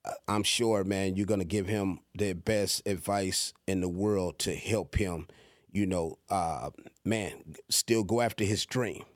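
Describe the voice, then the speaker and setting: A man's voice: low voice, fairly even intonation, unhurried, dry recording, clean studio sound. A man hosting a casual podcast interview, encouraging his guests and often repeating and restarting his words. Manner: warm-hearted, repeats words and restarts a lot. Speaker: podcast host.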